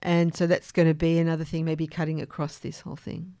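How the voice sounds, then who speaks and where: A woman hosting a podcast, explaining things in a measured way with informal asides. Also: low-pitched, level tone, dark tone, no room sound, studio quality. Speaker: podcast host.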